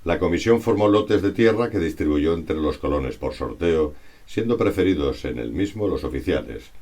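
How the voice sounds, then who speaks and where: A man's voice: low voice, lively intonation, moderate pace, darkish tone. A man reads a scripted historical narration in a formal, expository way. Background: music.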